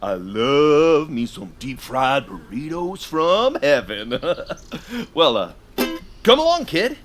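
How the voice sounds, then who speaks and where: A man's voice: on the high side, very animated, slow, over music. An actor playing a friendly, goofy young male summer camp counselor, laid-back and slangy, in an audio drama. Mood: goofy, chummy. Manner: slangy, easygoing, hesitant with ums.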